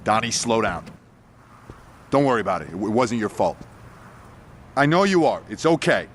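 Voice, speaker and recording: A man's voice: fairly low pitch, very animated, moderate pace, a little hiss or hum. A man playing a hot-tempered gang leader in a video game, blunt and profane. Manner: blunt, profane, short-fused. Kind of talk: video game cutscene acting.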